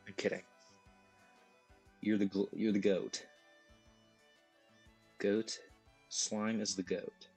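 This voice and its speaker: Hoarse, slow-talking male streamer. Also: on the low side, little pitch movement, a little mellow, soft background music. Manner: rambling, goofy, jokey asides. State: hoarse, losing his voice. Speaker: game streamer. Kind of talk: casual live-stream chat while gaming.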